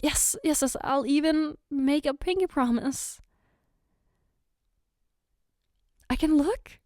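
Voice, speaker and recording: A woman acts out a loving girlfriend speaking one-on-one in an ASMR roleplay. Her voice is high and takes its time, recorded dry and intimate. She is tender and reassuring, with a playful, coaxing tease. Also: measured pace, no room sound. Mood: playful, pleading. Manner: tender, coaxing, soft giggles between phrases.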